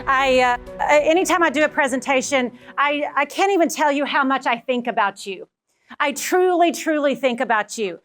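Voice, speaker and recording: A woman with a Texan accent, giving a motivational talk to a live audience in an energetic, personal way and speaking straight to the listeners. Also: high voice, moderate pace, soft background music. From Texas. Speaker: keynote speaker.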